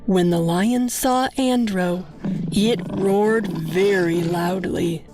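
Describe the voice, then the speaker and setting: A woman narrating a scripted moral story as a storyteller, in a simple, deliberate manner. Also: fairly high pitch.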